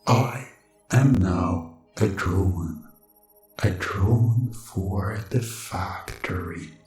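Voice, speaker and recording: A man's voice: deep voice, very slow, a little mellow. A man voicing a cold factory system in a hypnosis role-play, issuing clipped, impersonal phrases. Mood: blank, obedient. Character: cold factory machine programming drones, robotic system announcer. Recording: very echoey voice over music.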